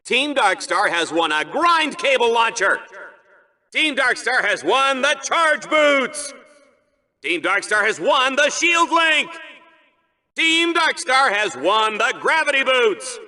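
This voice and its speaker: An actor playing a bombastic, gleefully cruel sci-fi game-show announcer, hyping a deadly arena contest with showy mockery in a video game. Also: male voice, extremely high pitch, sing-song, slow, sharp tone, heavily acted. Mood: triumphant. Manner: showy, mocking, theatrical hype. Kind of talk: over-the-top arena sports commentary for a video game.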